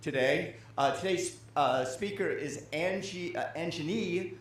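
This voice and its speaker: A man's voice: a little high, unhurried. Distant echoey man introducing a speaker. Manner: hesitant, fillers. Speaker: event host introducing speaker.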